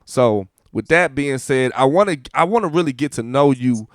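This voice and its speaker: A man's voice: mid-range voice, lively intonation, moderate pace, no echo, clean studio sound. A man hosting a casual radio interview, talking in a laid-back, slangy way and often repeating his phrases. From Columbus, Ohio. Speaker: radio show host.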